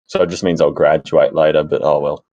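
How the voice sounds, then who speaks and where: A young man chats casually on a panel. His voice is very low, and the recording is dry and a bit muffled. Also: flat delivery, brisk, darkish tone, no echo. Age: 20s. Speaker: podcast panelist. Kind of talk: casual discussion.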